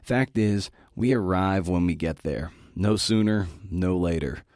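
A middle-aged man with a low voice delivers a prepared talk, dropping in casual asides. He is folksy and encouraging. The sound is close and dry, with little room around it. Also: unhurried, darkish tone, dry recording. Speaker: podcast host giving a talk.

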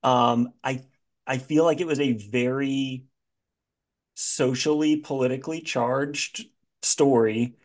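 A man hosting a casual interview, asking questions in a halting, think-aloud way. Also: mid-range voice, fairly even intonation. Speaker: podcast host, interviewer.

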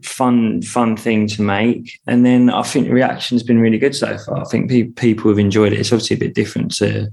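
A man's voice: fairly low pitch, level tone, fairly quick, gravelly, few pauses, a little mellow. A British man, an interview guest, chatting casually and informally with some swearing. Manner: informal, sweary, uses tag questions. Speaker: comedian and actor, interview guest. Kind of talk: casual chat.

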